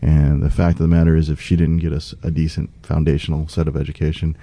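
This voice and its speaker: Earnest middle-aged male candidate, low gravelly voice, very dark tone, dry sound. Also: flat delivery, quick pace, no room sound. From United States. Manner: earnest, with frequent fillers and restarts. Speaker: political candidate guest. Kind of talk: conversational interview.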